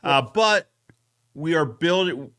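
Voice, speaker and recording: A man's voice: fairly high pitch, expressive, slow pace, a bit bright, clear, resonant tone. A man hosting a podcast recap in a casual, enthusiastic chatting style. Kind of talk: casual chat.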